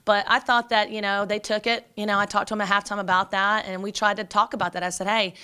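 A woman in her forties, a basketball coach, talking quickly and energetically at a postgame press conference, with many fillers and restarts, into a table microphone. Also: mid-range voice, flat delivery, bright, forceful tone, clean tone. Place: press room before a sponsor backdrop. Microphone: table microphone in front.

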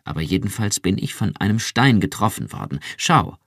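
A man's voice: deep voice, lively intonation, slow pace, no echo, clean studio sound, full character voice. A man voicing an earnest young wife who pleads insistently and fervently.

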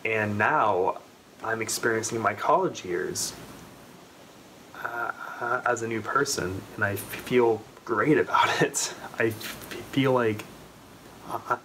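Young man who stutters, slow and low. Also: pushed and bright, a little hiss or hum, poor audio. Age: 20s. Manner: stutters, with repeated sounds and restarts. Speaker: vlogger. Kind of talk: heartfelt personal talk to camera. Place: small bedroom, indoors. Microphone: camera in front at close range.

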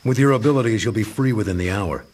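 A man's voice: fairly low pitch, fast, a little mellow, a little hiss or hum, natural voice. A man playing a stern, brooding masked vigilante in a motion comic, speaking in a terse, grave, controlled manner. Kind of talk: motion comic dialogue and inner narration. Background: background music.

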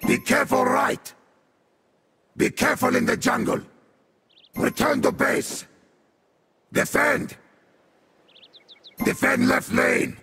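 Gravelly, menacing demon beast warrior. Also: male voice, extremely high pitch, fairly even intonation, pushed and bright, a little hiss or hum, heavily acted. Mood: commanding, urgent. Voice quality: harsh, gravelly demonic growl. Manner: theatrical, deliberate, menacing. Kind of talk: video game character voice lines.

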